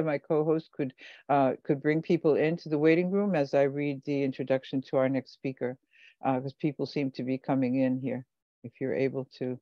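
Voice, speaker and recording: A woman's voice: sits low, mellow, no room sound. Dry, lo-fi woman host on a video call. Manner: hesitant, many fillers and restarts. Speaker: workshop host. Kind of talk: moderating an online workshop.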